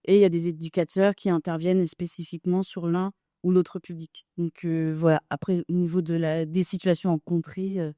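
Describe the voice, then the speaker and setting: Measured woman explaining at a panel. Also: fairly low pitch, flat delivery, dark tone, no room sound, studio quality. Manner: explanatory, measured, some fillers. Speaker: financial manager of a charity. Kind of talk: panel discussion.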